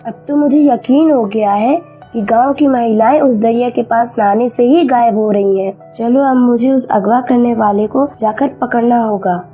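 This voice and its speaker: A woman's voice: a little high, normal speed, warm tone, faint music behind, close to an everyday voice. A woman voicing a brave, determined young village wife in a cartoon story, earnest and emphatic. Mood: determined, stern. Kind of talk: Hindi cartoon story acting.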